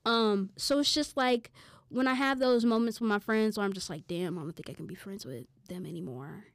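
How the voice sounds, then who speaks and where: A woman's voice: mid-range voice, a little fast, dry recording, studio quality. A young woman co-hosting a podcast in casual chat, blunt and slangy with emphatic asides. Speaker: podcast co-host.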